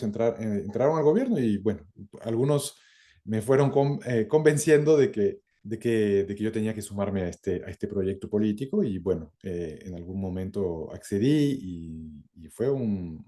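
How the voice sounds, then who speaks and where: Male interview guest, middle-aged, low and very dark voice, halting, reflective delivery. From born in France, lived some twenty years in Ecuador. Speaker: interview guest, academic and former government minister.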